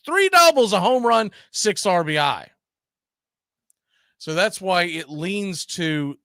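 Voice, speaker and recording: A man's voice: fairly high pitch, pushed and bright, no echo, clean studio sound. A man hosting a sports talk show, telling baseball history with an emphatic, storytelling delivery.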